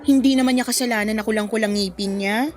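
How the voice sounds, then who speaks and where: A woman's voice: a little high, quick pace, faint music behind, lightly acted. A young woman with a Filipino accent playing a smitten, coy woman in a radio drama, plaintive and protective of her suitor. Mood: defensive, hurt.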